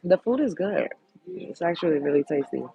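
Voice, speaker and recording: A woman with a deep, sultry voice chats casually on her livestream and answers comments bluntly. Her tone is gravelly with a husky edge. She talks in a candid, easygoing way. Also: very animated, normal speed, warm tone, dull audio, soft background music. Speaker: host.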